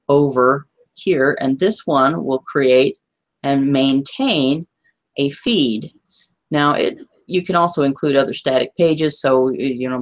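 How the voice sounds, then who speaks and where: A hoarse woman presenting in a webinar, walking listeners through a chart and checking that they follow. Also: a bass voice. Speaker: guest presenter.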